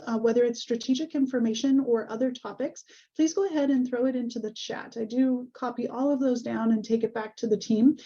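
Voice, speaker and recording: A woman hosting an online webinar over a video call, a little hoarse, friendly and full of ums. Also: fairly high pitch, dark tone. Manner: friendly, many ums and uhs. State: slightly hoarse. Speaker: webinar host. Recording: muffled video call audio.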